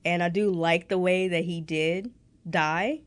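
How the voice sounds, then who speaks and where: A woman's voice: fairly low pitch, steady tone, unhurried. A young woman co-hosting a podcast in casual chat, with a nasal, congested voice, laughing often. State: congested nose. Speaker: podcast co-host.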